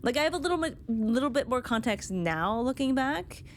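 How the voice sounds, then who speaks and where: A woman's voice: high-pitched, animated, normal speed, a little hiss or hum. A woman game streamer giving casual reaction commentary on cutscenes, thinking aloud as she goes. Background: game music.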